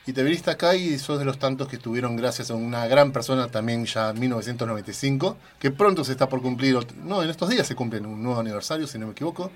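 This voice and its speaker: A man's voice: medium pitch, lively intonation, quick pace, hardly any pauses. A man with an Argentine Spanish accent hosting a conversational radio interview, speaking discursively with emphatic asides.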